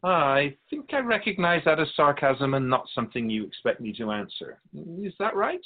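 A man playing a wise, patient otherworldly spirit in a radio drama, explaining things in a measured, gently pedantic way with a husky voice. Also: a little high, very animated, narrow, tinny audio. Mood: patient, knowing. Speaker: spirit being interviewed. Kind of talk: scripted radio drama dialogue.

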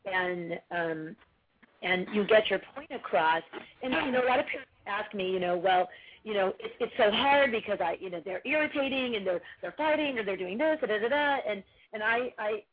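A woman speaking as a parenting coach guest in a casual podcast conversation, with a loud voice and an earnest, advising manner. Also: medium pitch, expressive, moderate pace. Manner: earnest, advising, many restarts. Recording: tinny phone-like line.

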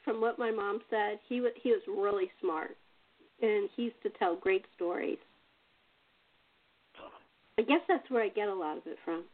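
A woman's voice: mid-range voice, normal speed, pauses often. A woman hosting a casual chat, telling stories in a conversational way. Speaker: host and playwright. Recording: thin phone-like call audio.

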